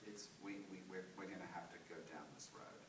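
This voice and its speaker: A man leading a post-show talkback in a theatre, animated and restarting his sentences often. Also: low-pitched, brisk, background noise. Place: theatre auditorium. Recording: echoey theatre, distant mic.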